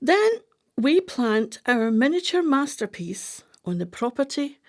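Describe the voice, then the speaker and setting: A woman's voice: sits high, sing-song, a little slow, full character voice. A woman playing a scheming, imperious, artistic retired wife in a radio play, with dramatic, emphatic delivery. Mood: excited, conspiratorial.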